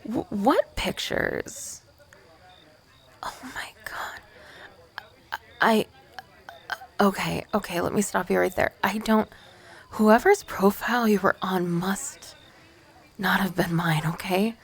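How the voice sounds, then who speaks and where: A woman's voice: medium pitch, breathy edge. A woman acting as an awkward, nervous young female ghost in an ASMR roleplay, stammering, flustered and defensive.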